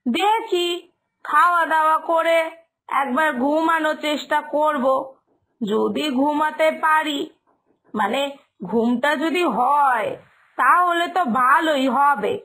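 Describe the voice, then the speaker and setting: A woman's voice: high voice, takes it slowly, pauses often, fairly bright tone, full character voice, low-quality recording. A woman voicing a querulous older village mother-in-law in a cartoon story, complaining and scolding. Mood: weary, plaintive.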